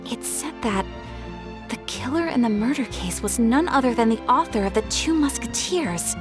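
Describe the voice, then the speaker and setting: A woman's voice: a little high, animated, normal speed, lightly acted. A woman voicing a polite, earnest young film crew member in a video game. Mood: concerned. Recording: prominent game music behind the voice. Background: music.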